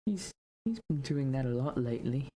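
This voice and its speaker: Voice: medium pitch, expressive, moderate pace, mellow, a little hiss or hum, natural voice. An actor playing an anxious young prince who misses his father, speaking in a hesitant, plaintive, earnest way in an audio drama. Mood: sad, subdued. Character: anxious, grieving young prince, devoted son. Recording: music bed behind the voice.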